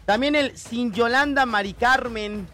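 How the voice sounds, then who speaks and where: A man's voice: extremely high pitch, a little slow, bright, forceful tone. A Mexican radio host jokes his way through morning banter, laughing often as he reads listener messages on air. Faint music plays under his voice in the studio.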